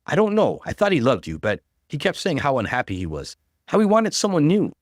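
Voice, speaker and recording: A man's voice: on the high side, huge pitch swings, fairly quick, dry recording, clean studio sound, voice pushed up. A man voicing a scheming, seductive woman who pleads melodramatically in a story reading. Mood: tearful pleading.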